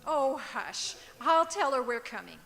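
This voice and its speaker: Woman acting animated, sharp-tongued radio comedy wife, hall reverb. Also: high voice, unhurried, creaky, slight background noise, full character voice. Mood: wry. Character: Blanche, sharp-tongued wry married woman neighbor. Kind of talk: old-time radio comedy acting on stage. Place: stage of a performing arts auditorium. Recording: echoey auditorium with long reverb.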